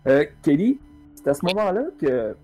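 A man, narrating calmly as game master of a tabletop game. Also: a little high, normal speed, faint music behind.

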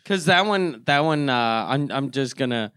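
A man's voice: mid-range voice, animated, moderate pace, a bit bright, smooth, no echo, clean studio sound. A man, co-hosting a comedy podcast with crude, teasing, casual talk.

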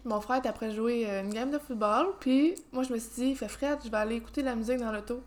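A woman with a Québécois accent, a podcast guest telling a lively story in casual chat. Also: a little high, fast talker. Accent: Québécois French.

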